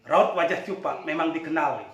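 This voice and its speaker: A man's voice: fairly high pitch, brisk, a bit bright, dull audio. A man telling a folk tale in a dramatic, playful way. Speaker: storyteller. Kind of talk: animated oral folk storytelling. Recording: echoey room, distant from mic.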